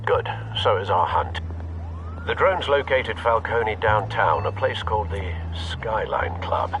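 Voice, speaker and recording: A man voicing a loyal, wary elderly butler in a video game, formal, measured and deferential. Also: lowish voice, animated, fairly bright tone, a little hiss or hum, rough recording. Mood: wary, resolved. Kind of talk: video game cutscene dialogue.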